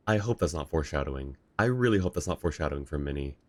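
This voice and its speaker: A man's voice: a bass voice, expressive, racing, a little mellow, no room sound. A man chatting casually and reacting as he livestreams a video game. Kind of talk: casual stream chat and reactions.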